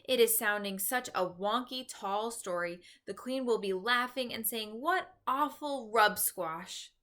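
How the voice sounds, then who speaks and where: A woman voicing a stubborn, gentle, pessimistic male giant with garbled folksy grammar in a children's storybook. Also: on the high side, measured pace. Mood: doubtful. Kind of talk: children's storybook read-aloud.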